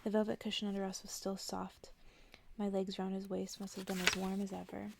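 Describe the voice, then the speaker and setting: A woman reads a story for ASMR listeners in a very gravelly, fry-heavy voice at a middling pitch. She speaks softly and often slips into whispering, as a bedtime narration. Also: monotone, brisk, heavy background noise. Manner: soft-spoken, often dropping into whisper. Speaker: ASMR host reading a story aloud.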